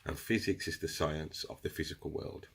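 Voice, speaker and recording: A man's voice: extremely low pitch, steady tone, normal speed, vocal fry, slightly rough. A man with a cold and a runny nose, chatting to viewers as he explains a meditation practice in a casual teaching style. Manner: chatty, addresses viewers as guys. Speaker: crystal seller explaining meditation. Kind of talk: casual instructional video talk.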